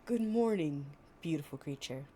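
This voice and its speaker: A woman voicing a sly male fox who flatters sweetly in a storybook fable. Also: low voice, moderate pace, slight background noise. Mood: flattering.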